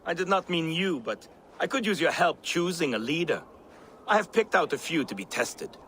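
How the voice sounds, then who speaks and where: An older Viking who plans raids, with a lively, bright voice and easy, persuasive talk. It is a video game cutscene with faint background noise. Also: male voice, on the high side, normal speed. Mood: friendly. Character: older male Viking raid planner, friendly.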